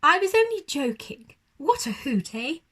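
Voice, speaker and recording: A woman plays a cheeky, lazy young owl from a children's storybook. He cries out in a high, loud, theatrical voice, with big swoops in pitch. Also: sing-song, unhurried, heavily acted. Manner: theatrical, exclaiming.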